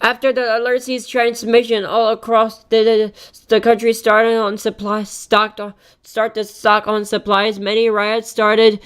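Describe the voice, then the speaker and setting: Slow, clear-voiced woman streamer. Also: fairly high pitch, flat delivery. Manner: halting, repeats words. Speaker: reaction streamer. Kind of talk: casual live-stream reaction chat.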